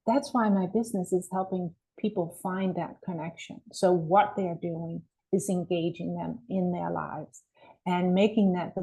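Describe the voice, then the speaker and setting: A woman's voice: on the low side, warm tone, rough recording. A middle-aged woman from Denmark, a mindset coach, telling her story earnestly as a podcast guest. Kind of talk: podcast interview.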